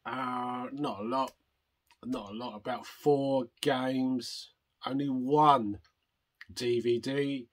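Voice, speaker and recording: An older man hosting a retro gaming vlog, chatting casually and rambling, hoarse from a chest infection. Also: medium pitch, fairly bright tone. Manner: rambling, self-interrupting, chatty. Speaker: retro gaming channel host.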